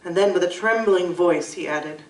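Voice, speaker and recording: A woman's voice: fairly low pitch, moderate pace, close to an everyday voice. Woman as a formal gothic narrator, echoing room. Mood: grave, recounting. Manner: formal, literary storytelling. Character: formal period narrator recounting a dark tale as a witness. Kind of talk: scripted narration, gothic audio drama. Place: live convention performance in a room. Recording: roomy live stage recording, distant mic.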